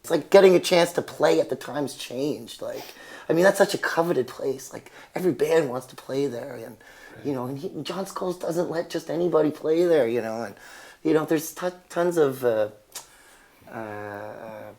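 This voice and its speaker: A man from Canada, a musician and singer-songwriter, answering interview questions in a halting, searching way. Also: a little high, creaky, a little mellow. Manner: halting, full of fillers and restarts. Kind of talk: casual interview.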